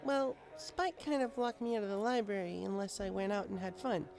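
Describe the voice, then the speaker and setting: A man's voice: high-pitched, steady tone, a little fast, vocal fry, a little mellow, soft background music, pitched above the performer's own voice. A man voicing a studious, polite young female pony with a precise, bookish manner in a storybook reading. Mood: tipsy, confiding.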